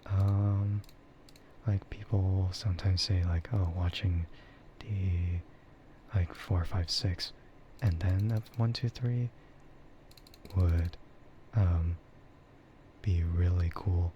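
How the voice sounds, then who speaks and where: Low, soft half-whispering man, ASMR style. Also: steady tone, takes it slowly, dull audio, slight background noise. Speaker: VTuber streamer.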